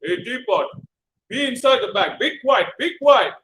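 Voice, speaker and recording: A sneaky storybook robber who turns into a whining coward, as a man acts him out, his voice high and bright with a pleading, wobbly edge. Lively pitch swings through every begging line. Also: unhurried, roomy sound, slight background noise, heavily acted. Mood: hushing.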